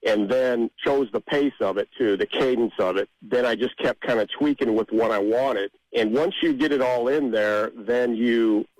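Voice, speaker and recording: A man's voice: medium pitch, moderate pace, few pauses, a little mellow, no room sound, poor audio. A man speaking conversationally as a guest in a radio interview, explaining things and repeating points for emphasis. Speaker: interview guest, amateur songwriter.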